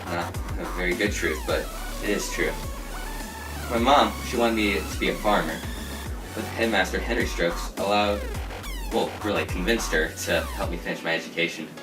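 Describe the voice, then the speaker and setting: A man playing an earnest scientist guest with a low, deep voice, reciting his life story in a stiff, rehearsed way. He sits away from the mic in an echoey room, with electronic music playing behind him. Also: normal speed, natural voice. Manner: stiff, recited delivery with stumbles. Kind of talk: amateur talk-show skit. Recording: roomy, distant, music behind.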